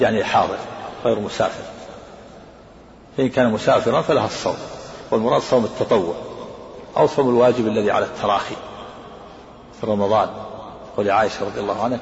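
A man teaching as a scholar, explaining texts in a rapid lecture and repeating his points. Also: lowish voice, creaky, slight background noise. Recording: echoey hall, dull lo-fi sound.

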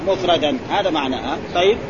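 A man's voice: a little high, fast. A man teaching grammar in a classroom, explaining points and quizzing his students with constant prompts. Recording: lo-fi with crowd and street noise.

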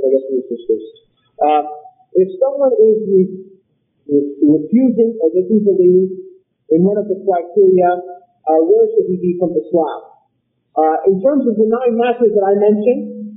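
A man giving an Islamic lecture in a measured, explanatory way, posing rhetorical questions and taking questions from the audience. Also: sits high. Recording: tinny phone-like recording.

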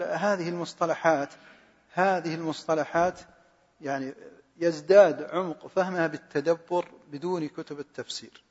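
A man giving informal religious teaching and answering questions, halting and repeating his words as he goes. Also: a little high, husky. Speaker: lecturer answering questions on Quran study. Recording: muffled, echoing hall recording.

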